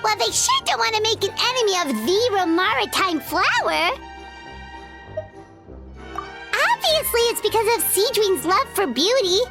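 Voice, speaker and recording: A tiny, childlike game fairy, with an extremely high voice that swoops wildly. She is gushing, curious and excitable, and music plays loudly behind her. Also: unhurried, long pauses, exaggerated voice. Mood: amazed, nosy. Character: small excitable floating fairy companion, childlike, talks in third person. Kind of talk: animated video game voice acting.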